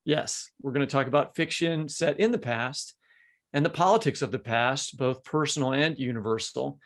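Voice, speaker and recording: A man's voice: mid-range voice, normal speed. A middle-aged man hosting a podcast in an informal, joking, conversational way, with plenty of words. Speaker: podcast host.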